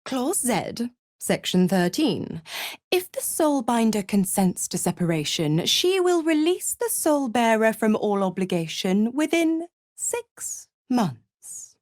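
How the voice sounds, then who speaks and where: A woman's voice: a little high, very animated, takes it slowly, darkish tone, dry recording, clean studio sound. A woman playing a seductive, mocking devil villain in a video game, theatrical and taunting, with a cruel possessive streak. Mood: coolly legalistic. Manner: sultry, taunting, theatrical, drops into whispers. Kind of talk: dramatic video game voice acting.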